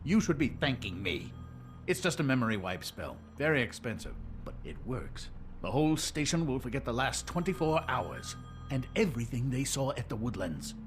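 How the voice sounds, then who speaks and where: An actor playing a brisk, formal, self-important official in a video game, speaking precisely and with a superior manner. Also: male voice, mid-range voice, big pitch swings, faint music behind, natural voice. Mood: brisk, smug.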